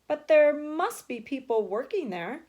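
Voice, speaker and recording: Curious small boy, played by a woman reader, eager and earnest, very animated pitch, storybook questions. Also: measured pace. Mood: eager, pleading. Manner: earnest questioning, breaking into exclamations. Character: wide-eyed, eager, curious little boy.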